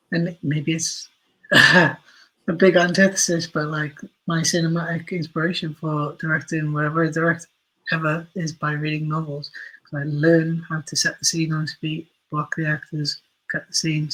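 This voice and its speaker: A male podcast guest talks casually and reflectively. His voice sits a little high for a man and moves within a narrow range. He is earnest, often restarting a phrase as he thinks. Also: a bit bright. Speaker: podcast guest, writer and director.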